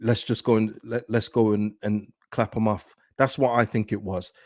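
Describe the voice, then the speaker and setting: A man with a deep, soothing voice hosting a football fan channel, chatting in a casual, rambling way as he talks with viewers. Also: flat delivery, normal speed, darkish tone, no echo, clean studio sound. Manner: conversational, rambling. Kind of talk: casual livestream chat, reading and answering viewer comments.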